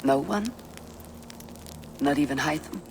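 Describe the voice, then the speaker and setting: A man's voice: fairly high pitch, slow, vocal fry, background noise. A man playing a resolute, earnest young Viking leader in a video game. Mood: curious. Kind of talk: cinematic video game dialogue acting.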